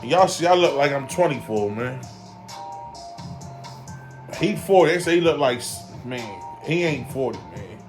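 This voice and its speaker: A man's voice: medium pitch, lively intonation, a little slow, long pauses, soft background music. Rambling middle-aged man breaking down a fight. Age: 40s. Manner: loose, rambling, heavy on fillers like "you know" and "man"; emphatic, repeats his points for effect. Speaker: boxing commentary vlogger. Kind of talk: casual boxing breakdown vlog.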